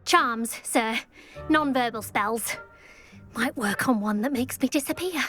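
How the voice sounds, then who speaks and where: A teenage witch at school in a video game. Her voice is high and nervous, with wry, hesitant phrasing. Music plays clearly behind her. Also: unhurried, fairly bright tone. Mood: awkward, wry.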